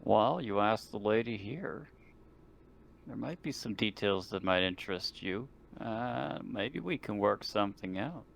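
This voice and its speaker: A man's voice: on the low side, lively intonation, a little slow, gravelly. A man bantering casually as a player in an online tabletop game. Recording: noisy online voice chat.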